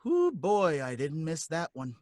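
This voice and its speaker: An actor playing a jittery working-class cab driver in an old-time radio drama, pleading and excitable with folksy slang. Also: male voice, a little high, measured pace, heavy background noise. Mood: rattled recounting.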